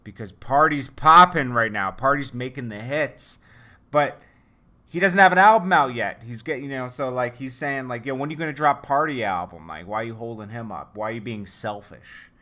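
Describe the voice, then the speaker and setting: A man's voice: mid-range voice, animated, normal speed, pushed and bright. A young man hosting a casual commentary show, rambling with many fillers and restarts. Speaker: news commentary vlogger.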